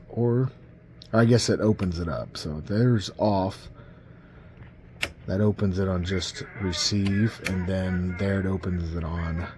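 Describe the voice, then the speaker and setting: A man's voice: low voice, darkish tone, slight background noise. A man giving a casual, informal hands-on demonstration of gear, pointing things out step by step. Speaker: CB radio enthusiast demonstrating gear. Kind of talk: casual hands-on product demo. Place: indoors at a radio bench.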